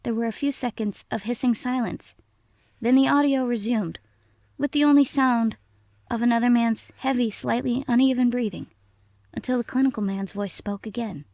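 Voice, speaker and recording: A woman's voice: fairly high pitch, steady tone, normal speed, warm tone, no echo. A woman narrating a scary story in the first person, reading from a script.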